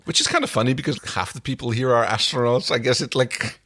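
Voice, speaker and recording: A man's voice: medium pitch, fast talker, bright, forceful tone, dry recording. A man whose first language is Dutch, chatting casually as co-host of a recap podcast. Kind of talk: casual episode recap commentary.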